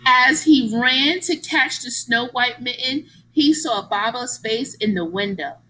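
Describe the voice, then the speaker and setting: A woman's voice: on the high side, measured pace, pushed and bright, rough recording. A woman reading a picture book aloud like a teacher, with exaggerated emphasis, putting questions to young listeners.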